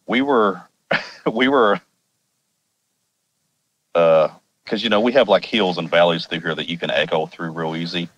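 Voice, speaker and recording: A man's voice: low-pitched, unhurried, long pauses, fairly bright tone, lo-fi sound. A man from Arkansas with an American accent, casually telling a personal story in an informal, rambling, wry way. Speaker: podcast guest telling personal experiences.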